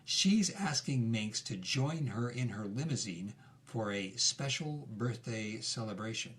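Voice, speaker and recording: A man's voice: medium pitch, animated, unhurried, a bit bright. Guy with a mid-range voice narrating a story, scripted podcast thing.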